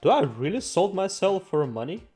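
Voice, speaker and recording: A man's voice: high-pitched, sing-song, normal speed, dark tone, breathy edge. A man hosting a let's play, reading game text aloud in a playful, theatrical way with joking asides. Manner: playful, theatrical, exclaims and jokes to himself. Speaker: gaming video host. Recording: music behind the voice. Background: game music.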